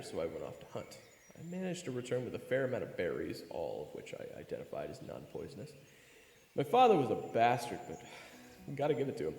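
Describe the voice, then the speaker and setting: A man's voice: on the low side, darkish tone. A man playing a wry young adventurer who narrates his own fantasy tale in a candid, conversational way. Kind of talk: first-person story narration. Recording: reverberant, music behind.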